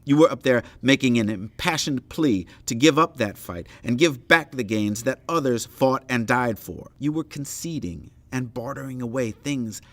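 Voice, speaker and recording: An actor playing a stern, uncompromising intellectual in an audio play, speaking in formal, emphatic, articulate sentences. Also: male voice, medium pitch, a bit bright, no echo, close to an everyday voice. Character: stern, uncompromising Northern intellectual doctor, righteous and critical. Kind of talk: dramatic audio play dialogue.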